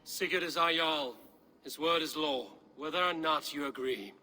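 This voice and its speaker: An actor playing a steady, diplomatic Viking warrior chieftain in a video game, measured and dryly wry. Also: male voice, a little high. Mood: firm. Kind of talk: dramatic video game acting.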